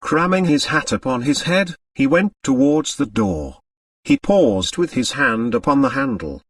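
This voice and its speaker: A man's voice: mid-range voice, big pitch swings, unhurried, no echo, studio quality, recorded from a distance. A man reading a novel aloud as scripted, dramatic audiobook narration.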